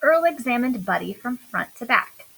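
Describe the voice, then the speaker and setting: A woman reading a children's story aloud in an expressive, deliberate storytelling manner. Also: a little high, creaky, breathy edge, low-quality recording. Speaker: storybook reader. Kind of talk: scripted children's story narration read aloud.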